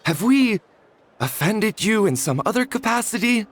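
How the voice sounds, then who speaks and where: A man's voice: sits high, very animated, moderate pace, very noisy. An actor playing a nervous, humble male villager in a video game, respectful and apologetic. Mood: startled, fearful. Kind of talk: English video game voice acting. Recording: faint background music under the voice.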